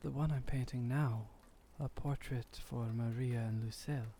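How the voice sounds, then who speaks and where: A man playing a gentle, melancholy, centuries-old vampire painter in an intimate ASMR roleplay, soft-spoken and musing, with formal old-fashioned phrasing. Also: medium pitch, steady tone, moderate pace, a little hiss or hum, natural voice. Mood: pensive, mildly weary.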